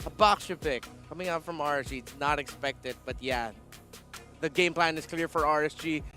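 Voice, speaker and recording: High-pitched, excitable male esports shoutcaster. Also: normal speed, faint music behind. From Philippines. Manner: excitable, stretches words for drama. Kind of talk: live game commentary.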